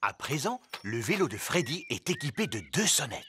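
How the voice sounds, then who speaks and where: A man's voice: mid-range voice, big pitch swings, moderate pace, noisy background. Man narrating a cartoon. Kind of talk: scripted storybook narration. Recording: loud music underneath.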